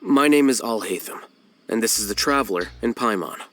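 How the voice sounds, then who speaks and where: A man's voice: lowish voice, expressive, fairly quick, fairly bright tone, no echo. An actor playing a blunt, aloof male scholar who speaks in a dry, matter-of-fact way, in a video game.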